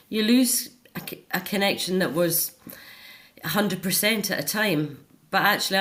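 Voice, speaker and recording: Low-pitched middle-aged woman. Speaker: interview guest, author. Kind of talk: personal conversational interview.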